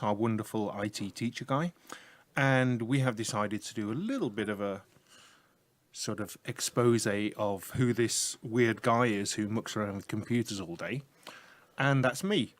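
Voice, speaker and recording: A middle-aged man with a broad Yorkshire accent talks in an easygoing, joking way, like a teacher spinning yarns. His voice sits at an everyday pitch. The sound is close and dry, recorded in a small room. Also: a little slow, no room sound. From north of England. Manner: chatty, humorous storyteller. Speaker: IT teacher and show host. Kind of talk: casual radio chat.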